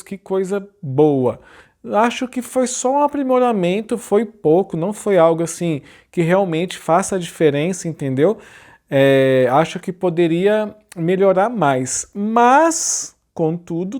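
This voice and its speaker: A man in his forties with a Brazilian Portuguese accent, hosting a design tutorial channel in a chatty, informal way and often adding tag questions, speaking from a small dark room. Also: on the high side. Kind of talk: casual talk to camera.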